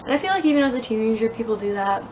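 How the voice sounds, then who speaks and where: A woman's voice: on the high side, animated, very fast, a little mellow. A young woman with a sore throat talking earnestly to her phone camera outdoors, hesitant and full of fillers. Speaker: vlogger. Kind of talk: casual talking-head vlog. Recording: outdoor wind noise. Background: wind and outdoor ambience.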